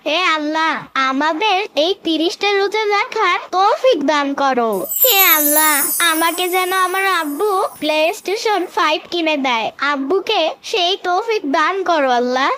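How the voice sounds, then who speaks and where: A woman's voice: very high-pitched, lively intonation, measured pace, a little hiss or hum, heavily acted. A woman voicing a cheeky, impatient young boy in a cartoon, whiny and pleading. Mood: praying. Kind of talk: cartoon voice acting. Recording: with loud music behind.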